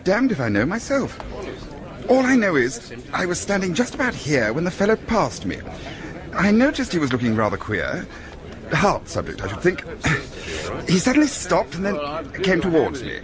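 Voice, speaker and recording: An actor playing a brusque, stoical injured doctor with an educated English accent, shaken and in pain, in a radio drama. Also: male voice, sits high, wildly swooping pitch. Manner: clipped, brisk, matter-of-fact. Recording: busy crowd noise behind.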